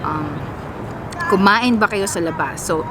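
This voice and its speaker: A woman's voice: medium pitch, measured pace. A woman from the Philippines, a Tagalog speaker, chatting casually to camera outdoors by a pier and answering friends' questions with lots of fillers. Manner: chatty and rambling, with many "you know" and "like" fillers. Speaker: vlogger. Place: outdoors by a city pier with traffic. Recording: loud street traffic noise outdoors.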